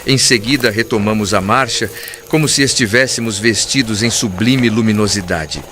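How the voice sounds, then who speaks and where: A man narrating a literary audiobook in a formal, earnest, measured way. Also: lowish voice, a bit bright, a little hiss or hum. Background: clear background music.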